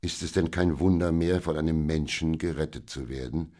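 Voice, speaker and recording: A man voicing a wise, calm older merchant and gentle father in a children's audiobook, speaking in a soothing, measured way. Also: extremely low pitch. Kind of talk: audiobook character voice.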